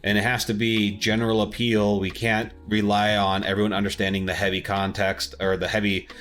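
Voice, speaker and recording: Low-voiced man rambling casually. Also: little pitch movement, a little fast, fairly bright tone. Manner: rambling, restarts sentences while searching for words. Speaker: podcast co-host. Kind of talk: casual chat.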